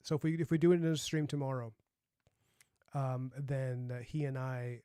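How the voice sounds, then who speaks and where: A man's voice: mid-range voice, fairly even intonation, normal speed, mellow, smooth, dry recording, clean studio sound. A young man with a light, youthful voice, chatting casually on a livestream and rambling with lots of fillers. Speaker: streamer and comic artist.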